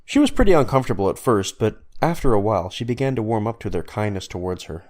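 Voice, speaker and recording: Man, low voice, scripted storytelling narration, narrator. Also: big pitch swings, quick pace, darkish tone.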